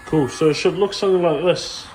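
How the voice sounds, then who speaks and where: A young man, a barber, talking through a haircut tutorial in a relaxed, plain way with frequent ums. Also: on the high side, brisk, a little hiss or hum, poor audio.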